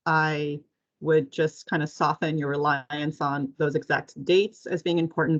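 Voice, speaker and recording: A woman giving a measured, explanatory semi-formal presentation as agency staff on a muffled conference call. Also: deep voice.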